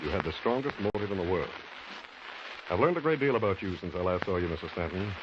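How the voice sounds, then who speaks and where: Deep-voiced, grave police lieutenant. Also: male voice, lively intonation. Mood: accusing. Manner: measured, sober. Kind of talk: 1940s radio drama acting. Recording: muffled old radio recording, noisy.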